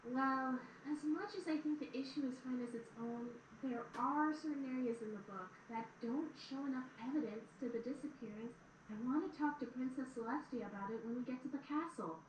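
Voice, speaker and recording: A woman's voice: sits high, fairly quick, lightly acted. A woman playing a studious, insistent young female pony scholar in a cartoon-style audio drama. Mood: brisk, insistent. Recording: roomy, distant, noisy replayed audio.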